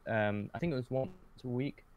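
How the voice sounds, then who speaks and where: A man's voice: medium pitch, moderate pace, darkish tone, lo-fi sound. A man chatting casually as a podcast guest, hesitant and often correcting himself as he goes. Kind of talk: casual conversation.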